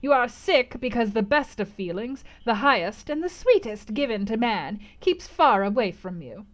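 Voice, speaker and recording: Woman putting on a harsh old crone fortune teller, bold and decided, loud and knowing. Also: a little high, sing-song, moderate pace, soft background music. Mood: deliberate.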